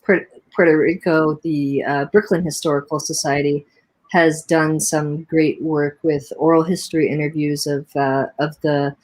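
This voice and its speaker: A chatty American woman hosts a relaxed online chat about family history. Her voice sits a little low, and she talks in an encouraging, rambling way, full of fillers and restarts. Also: measured pace, poor audio. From Indiana, USA. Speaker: host. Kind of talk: casual video chat.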